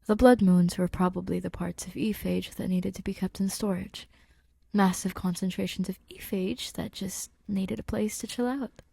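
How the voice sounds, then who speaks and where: A woman's voice: medium pitch, fairly even intonation, moderate pace, vocal fry, mellow, husky, no echo. A woman narrating a scripted story as a podfic reader, in a conversational, wry way.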